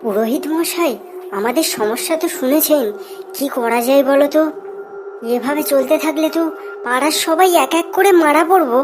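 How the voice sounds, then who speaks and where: A woman's voice: high voice, normal speed, noisy background, natural voice. A woman voicing a worried, outspoken villager in a cartoon, with an anxious, colloquial way of talking. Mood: pleading.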